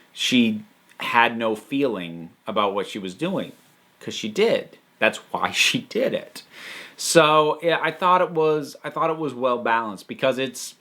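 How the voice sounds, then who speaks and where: A man hosting a casual, unscripted review, talking emphatically and thinking aloud with halting, digressive phrasing. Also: medium pitch, bright, forceful tone. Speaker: host reviewing audio dramas.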